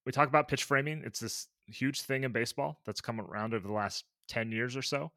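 A man's voice: medium pitch, brisk, bright, forceful tone, no room sound, clean studio sound. A man co-hosting a podcast in easygoing casual chat, thinking aloud. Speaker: podcast co-host.

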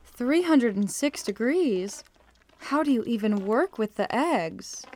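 A woman's voice: fairly high pitch, expressive, slow pace, a little mellow. A woman playing a practical, teasing detective's sidekick in an audio drama, plain-spoken and a little exasperated. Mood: polite, surprised.

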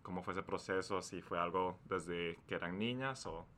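A man's voice: low voice, normal speed, sharp tone, slight background noise. A man hosting a science podcast in a welcoming, easy-going way. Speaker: podcast host.

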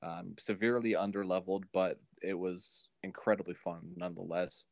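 Low-voiced man chatting, dry close sound. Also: steady tone, normal speed, vocal fry, a little mellow, no echo. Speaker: podcast co-host. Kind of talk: casual chat.

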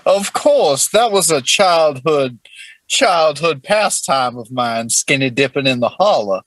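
A man voicing a lewd, old-fashioned Southern gentleman with a florid, courtly drawl in an improvised comedy role-play. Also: a little high, lively intonation, takes it slowly, dry recording, heavily acted. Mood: courtly, suggestive.

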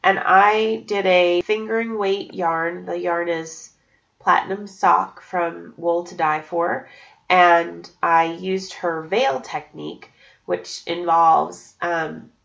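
A woman's voice: on the low side, steady tone, slow, gravelly, a bit bright, lo-fi sound. A woman in her thirties hosting her own podcast from home, sniffly from allergies, chatting upbeat with plenty of fillers. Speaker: podcast host. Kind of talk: casual solo podcast chat. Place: home at night, cats nearby.

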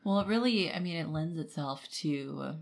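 A woman's voice: low-pitched, expressive, normal speed, vocal fry. A woman co-hosting a podcast, chatting casually about books with wry, informal commentary. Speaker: podcast co-host. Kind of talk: casual book discussion.

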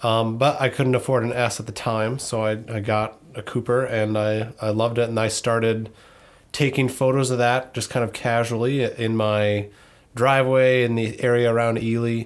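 A man's voice: medium pitch, flat delivery, normal speed. A thirty-year-old man from northern Minnesota co-hosting a casual podcast, rambling a bit and correcting himself with frequent ums. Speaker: podcast co-host.

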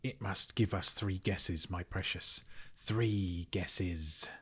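Male reader doing slimy hissing cave creature, sly and sulky, husky whispers, drawn-out words. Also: deep voice, heavily acted. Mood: whining.

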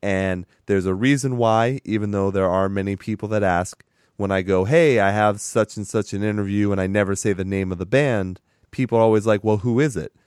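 A man hosting a casual podcast chat with a hoarse, raspy voice that is strained from sickness; he talks in a chatty, rambling way and laughs often. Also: lowish voice, fairly quick, darkish tone, no room sound, studio quality. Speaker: podcast host.

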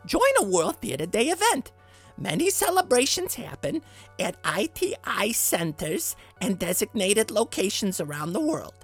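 A man's voice: high voice, sing-song, moderate pace, a bit bright, breathy edge, soft background music, heavily acted. A man playing an earnest, theatrical middle-aged dad presenting a podcast segment in a dramatic, effusive way.

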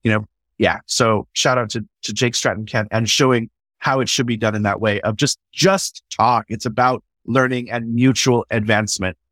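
A man's voice: medium pitch, fairly even intonation, moderate pace, fairly bright tone, no room sound. A man speaking in an enthusiastic, conversational way, swearing casually and often restarting his sentences. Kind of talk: conversational podcast discussion.

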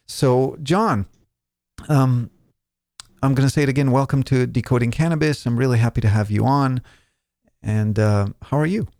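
Gravelly Israeli man hosting a podcast. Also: medium pitch, normal speed, pauses often, darkish tone, no room sound, studio quality. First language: Hebrew. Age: 40s. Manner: chatty, joking, many fillers. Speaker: podcast host. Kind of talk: casual podcast interview.

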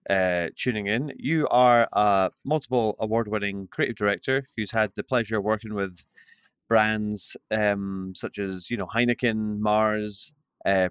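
A man's voice: fairly low pitch, clear, resonant tone, no room sound, studio quality. A man hosting a podcast interview, friendly and asking long questions padded with fillers. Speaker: podcast host.

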